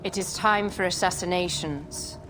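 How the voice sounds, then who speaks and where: A woman as a low-voiced commanding queen. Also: normal speed, music in the background, natural voice, poor audio. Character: regal, commanding female ruler of ancient Egypt. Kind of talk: dramatic video game acting.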